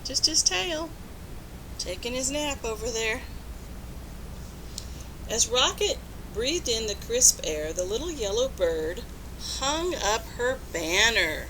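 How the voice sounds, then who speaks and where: Female storybook reader: high, very lively pitch, unhurried, instructive, faint rumble behind. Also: low-quality recording. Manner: expressive, instructive, addressing young children. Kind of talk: children's read-aloud, asking listeners questions.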